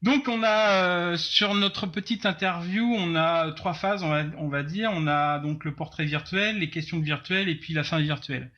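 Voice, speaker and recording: A man hosts a casual interview over a video call. His voice is bright and sits a little high, and the sound is dull and lo-fi. He speaks haltingly and restarts his sentences often. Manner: halting, many fillers and restarts. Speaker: interviewer host.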